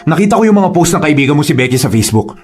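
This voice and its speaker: A man's voice: on the high side, big pitch swings, racing. A man acting a domineering, jealous young father and boyfriend in a radio drama. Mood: jealous, angry. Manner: domineering, intense. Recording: music under the voice.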